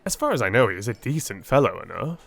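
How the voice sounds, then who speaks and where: A man voicing a hesitant, animated young Victorian gentleman. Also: medium pitch, fast talker, vocal fry, heavily acted. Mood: hesitant, guarded. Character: hesitant, gossipy young gentleman, a doctor's acquaintance. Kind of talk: dramatised Victorian audiobook dialogue.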